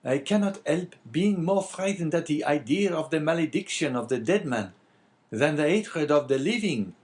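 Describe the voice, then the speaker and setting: Man playing a bitter, animated, high-pitched innkeeper. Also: normal speed, natural voice. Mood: indignant. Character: bitter, wavering, talkative male innkeeper.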